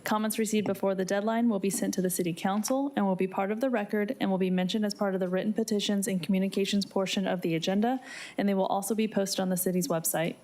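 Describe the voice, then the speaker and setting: A woman's voice: medium pitch, fairly even intonation, quick pace, a little mellow. A woman working as a city clerk, reading formal scripted announcements in an official, procedural way. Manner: procedural, official read-aloud delivery.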